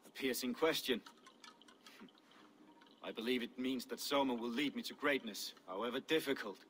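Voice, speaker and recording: An actor playing an earnest Viking visionary in a video game, speaking in a solemn, deliberate, dramatic way. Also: male voice, mid-range voice, fairly bright tone, a little hiss or hum, lightly acted. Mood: solemn, fervent. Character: mystical Viking seer driven by visions, earnest. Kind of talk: video game cutscene dialogue.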